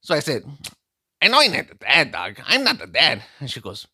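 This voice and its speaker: A man's voice: fairly high pitch, wildly swooping pitch, normal speed, sharp tone, breathy edge, no echo, clean studio sound, full character voice. A man playing a boastful, heartbroken streetwise vato, telling a comic story in slangy talk. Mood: swaggering. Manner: slangy, calls listener "dog" constantly, boastful storytelling. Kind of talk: comic character monologue.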